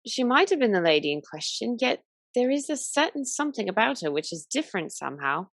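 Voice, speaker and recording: A woman's voice: a little high, sing-song, fairly quick, dry recording. A woman playing a deferential, careful and hesitant waitress giving evidence in a period radio play. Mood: uncertain.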